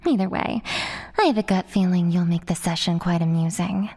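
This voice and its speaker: A woman's voice: fairly low pitch, fairly quick, warm tone, slight background noise, natural voice. A woman playing a playful, sadistic young metalhead villainess in a horror audio drama, theatrical, taunting and foul-mouthed. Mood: mocking amusement.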